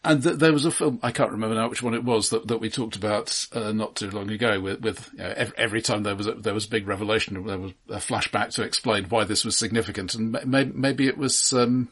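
A man with a slightly husky voice in a casual film discussion. He thinks aloud haltingly and picks at details with a dry, analytical manner, like a podcast co-host. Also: fairly low pitch, fairly bright tone.

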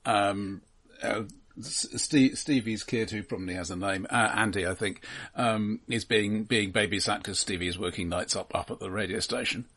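A man co-hosts a relaxed film discussion. His voice sits low and has a husky grain. He proceeds thoughtfully, with halting, measured phrasing. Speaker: film podcast host.

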